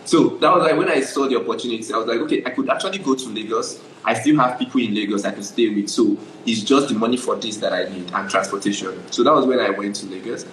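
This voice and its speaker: A man's voice: on the low side, quick pace, hardly any pauses, a bit bright, echoey room, slight background noise, rough recording. A young adult Nigerian man, a photographer guest, telling his story in a casual interview, often checking "do you understand?".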